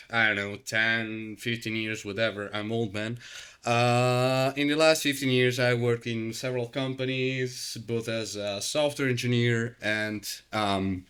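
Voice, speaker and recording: A man's voice: mid-range voice, smooth. Podcast host, male, thirties, Italian accent, loose and chatty, heavy on fillers. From Italy. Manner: relaxed, rambling with many fillers and restarts. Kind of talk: casual chat.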